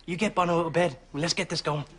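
Young irish lad in a sitcom, talks fast, bit high, impatient. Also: male voice, fairly even intonation, breathy edge. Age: young adult. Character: impatient, hurried grown son in a sitcom.

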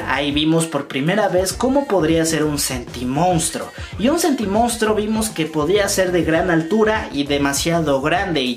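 A man, hosting a video of fan news and theory commentary, talking to camera in a small room. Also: high voice. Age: 20s. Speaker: YouTube host. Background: music.

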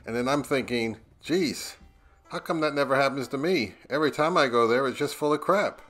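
A man's voice: mid-range voice, fairly bright tone. A middle-aged American man narrating a casual vlog in a wry, conversational way. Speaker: audio gear YouTuber.

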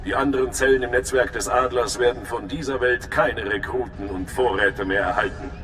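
A formal, stern male intelligence overseer voiced by a man in a sci-fi video game. He is clipped and official at a medium pitch, heard in a long echoing hall. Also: fairly even intonation, a little fast, a bit bright, close to an everyday voice. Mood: formal, businesslike. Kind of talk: dramatic sci-fi game dialogue. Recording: echoey hall with faint rumbling noise.

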